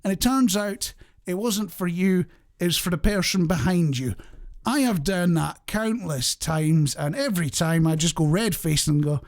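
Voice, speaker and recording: A man's voice: high-pitched, moderate pace. A man hosting a light-hearted radio show, chatting in a jokey, self-deprecating way straight to the listener. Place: radio studio.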